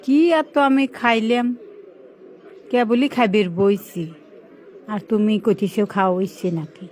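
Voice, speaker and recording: A woman's voice: medium pitch, unhurried, darkish tone, some chatter behind, slight background noise, natural voice. A woman with a Pabna accent from Bangladesh voicing a greedy, bossy, nagging wife in a comic cartoon dub. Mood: demanding. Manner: nagging, blunt. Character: demanding, greedy, bossy wife who loves eating. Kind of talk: comic cartoon dubbing in dialect.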